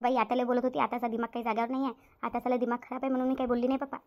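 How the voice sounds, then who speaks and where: A woman's voice: a little high, level tone, very fast, dull audio, close to an everyday voice. A woman voicing a harried, dutiful elder sister-in-law in a Marathi cartoon, resigned and chatty, with a rural Varhadi accent. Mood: resigned worried. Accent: rural Maharashtra, Varhadi Marathi. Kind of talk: domestic cartoon story dialogue.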